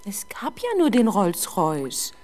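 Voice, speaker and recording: A young woman playing a frightened, defensive teenage girl under police questioning in a 1970s German radio drama, halting and easily exasperated. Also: mid-range voice, very animated, darkish tone, slight background noise. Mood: hesitant, uneasy. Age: young adult. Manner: hesitant, halting, exasperated when doubted.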